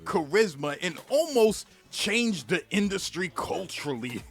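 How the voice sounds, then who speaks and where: High, lively male narrator over faint music. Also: measured pace. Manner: animated, laughing often, acts out little scenes. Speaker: narrator of a played music history video. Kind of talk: scripted narration with asides.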